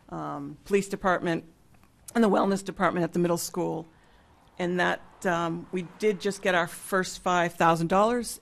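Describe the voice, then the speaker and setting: A woman giving an informal spoken report at a public school meeting, hesitant and pausing mid-sentence. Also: fairly low pitch, vocal fry. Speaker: school nursing leader presenting a report. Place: mid-sized meeting room with seated public. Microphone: gooseneck table microphone.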